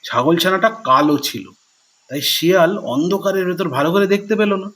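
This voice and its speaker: A man telling children's stories expressively and pausing to explain words as he goes. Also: sits high, fairly bright tone. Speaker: storyteller. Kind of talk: children's storytelling with word explanations.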